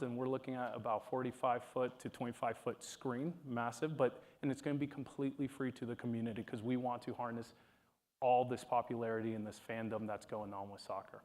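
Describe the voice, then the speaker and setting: A man speaking on a panel, enthusiastic and promotional. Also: medium pitch. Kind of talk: panel remarks.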